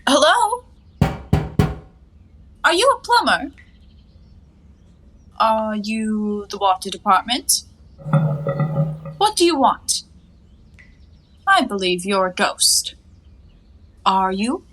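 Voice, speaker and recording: A woman's voice: mid-range voice, very animated, slow pace, long pauses, fairly bright tone, full character voice. A woman acting a playful, unabashed young 1920s woman in a stage comedy, with a coy, theatrical delivery. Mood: demanding. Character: breezy, playful, unabashed flapper-era young woman in her bath.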